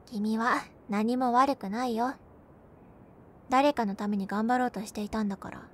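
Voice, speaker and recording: A gentle young girlfriend acted by a woman talks tenderly to her partner in an intimate roleplay. Her voice is high and soft, with a playful, caring touch. There is some background noise with a low rumble. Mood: gentle, concerned. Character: gentle, cheerful young girlfriend comforting her overworked boyfriend. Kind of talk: Japanese binaural situation-voice roleplay, spoken to the listener.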